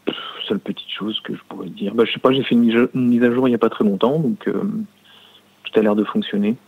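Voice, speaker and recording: A man giving casual feedback as a customer, halting often to search for words. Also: lowish voice, steady tone, gravelly, slightly rough. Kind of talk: casual spoken phone feedback. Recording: tinny, narrow phone line.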